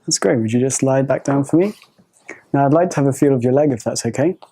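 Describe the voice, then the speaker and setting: Woman doctor, mellow tone, soft polite requests, clinical exam. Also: a bass voice, fast, breathy edge. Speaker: doctor examining a patient. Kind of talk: gentle clinical instructions.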